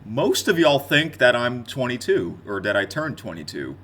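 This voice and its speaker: A man's voice: medium pitch, big pitch swings, moderate pace, a bit bright. A man in his twenties talking casually and off the cuff to his viewers, in a rambling, conversational year-in-review vlog style. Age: 20s. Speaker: gaming content creator and streamer.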